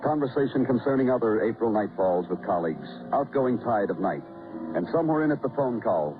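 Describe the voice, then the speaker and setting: An actor playing a world-weary police detective in a radio noir drama, narrating poetically in clipped, dramatic phrases. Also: male voice, mid-range voice, expressive, brisk, narrow, tinny audio, natural voice. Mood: brooding, dramatic. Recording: old band-limited radio recording with music.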